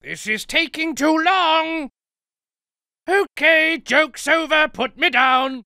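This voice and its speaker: A man's voice: very high-pitched, animated, takes it slowly, bright, forceful tone, no room sound, studio quality, exaggerated voice. A man voicing a fussy, indignant middle-aged civilian for improvised video game lines, prim, whiny and pleading. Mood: exasperated.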